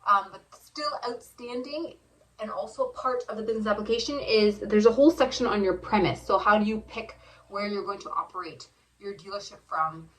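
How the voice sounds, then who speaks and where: A soft-spoken woman explains things step by step, hesitating often with ums along the way. Her voice is mid-pitched and comes from a distance away from the mic. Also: a little fast, slight background noise. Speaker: co-host. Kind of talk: casual explanatory chat.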